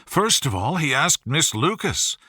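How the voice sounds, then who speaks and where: A man voicing an excitable, gossipy middle-aged wife and mother in a storybook reading, effusive and exclamatory as she rattles on. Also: a little high, measured pace, husky, no room sound, studio quality, heavily acted. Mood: gushing, excited.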